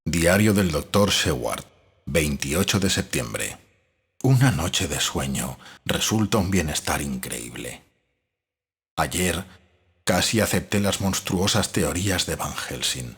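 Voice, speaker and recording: A deep, very rough-edged doctor voiced by a man narrates his diary in an audio drama. His delivery swings expressively in pitch while staying formal, brooding and analytical. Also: lively intonation, takes it slowly, a bit bright, heavily acted. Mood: doubting, brooding. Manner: formal, reflective.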